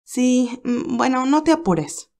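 A woman voicing a cheerful but anxious young nurse who talks in a lively, hesitant way. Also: high voice, slow pace, voice pushed up, full character voice. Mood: worried.

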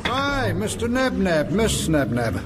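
An actor playing a villainous mad-scientist doctor in a sci-fi comedy, sardonic and condescending, with precise delivery. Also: male voice, high-pitched, big pitch swings, slow pace, in a large hall, noisy background, full character voice. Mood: smug, sinister.

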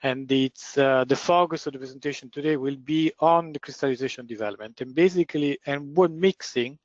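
Man, loud voice, webinar lecture, halting, lots of fillers, muffled call audio. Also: mid-range voice, dry recording. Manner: hesitant, many fillers and restarts. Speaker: guest lecturer, process engineer. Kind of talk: webinar presentation.

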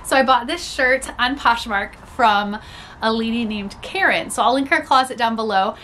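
A woman's voice: on the high side, moderate pace, a bit bright, a little hiss or hum. A young woman, a little congested from a cold, chatting casually and upbeat as she shows off a thrift haul at home. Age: young adult. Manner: chatty, upbeat, frequent "like" and laughs. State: slightly congested. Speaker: reseller vlogger. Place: small home room with storage bins, indoors.

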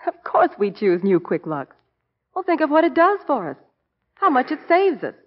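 A woman's voice: sits high, very animated, normal speed. A woman playing a confident, pert American homemaker in a 1940s radio advertisement. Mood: pert, enthusiastic. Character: confident, pert female homemaker praising products. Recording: dull, reverberant vintage radio recording.

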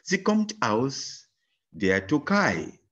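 A man voicing a 65-year-old married German woman, giving slow, halting textbook answers with many fillers. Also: medium pitch, huge pitch swings, fairly bright tone, lo-fi sound, full character voice. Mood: answering politely.

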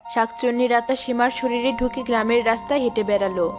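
Female narrator for an animated fairy tale, scripted storytelling delivery, clear music bed. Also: fairly high pitch, fast talker.

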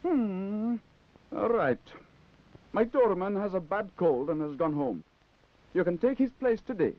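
A man's voice: high voice, expressive, measured pace. An actor playing an irritable, blustering middle-aged male boss in a 1960s children's puppet show. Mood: grudging. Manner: stammering, blustering, exasperated. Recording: dated, muffled 1960s TV soundtrack.

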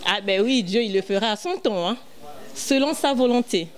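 A woman from Congo in her thirties, a gospel singer answering interview questions earnestly with frequent pauses and fillers, speaking into a handheld mic on a small indoor set. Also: a little high, expressive. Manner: earnest, many fillers and restarts. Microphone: handheld mic held by interviewer.

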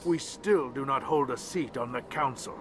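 A man's voice: mid-range voice, moderate pace, fairly bright tone, natural voice. An actor playing a grumpy, condescending small alien male ambassador in a video game, over background music. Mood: resentful. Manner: curt, resentful, condescending.

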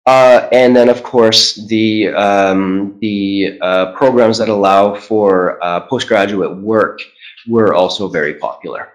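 A middle-aged man giving a webinar presentation as a trade commissioner, measured, with many "uh" fillers and restarts, on a video call. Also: on the low side, steady tone, rough recording. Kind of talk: webinar presentation over slides.

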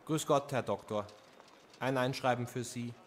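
An actor playing a polite, brisk postman in a radio drama. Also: medium pitch, background noise, natural voice. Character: polite, brisk male postman delivering registered mail.

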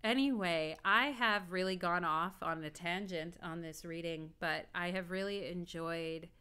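Woman in her 30s musing on camera at home, kinda low voice but bright, lots of like and you know. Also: unhurried, smooth. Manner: rambling and reflective, full of "like" and "you know". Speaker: astrologer and tarot reader. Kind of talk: casual video talk. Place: indoor room at home.

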